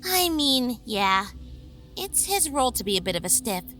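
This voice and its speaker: A woman playing a brisk, teasing, easily exasperated vampire researcher in an audio drama. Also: fairly high pitch, very animated, pushed and bright, soft background music.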